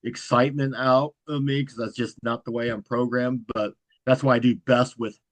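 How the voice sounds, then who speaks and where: A man talks as a podcast guest in an easygoing, level delivery that he calls monotone. His voice sits at a middling pitch. The sound is close and dry, with no room around it. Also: moderate pace, no room sound. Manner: self-described monotone, even delivery. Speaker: guest, horror content creator. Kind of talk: casual interview chat.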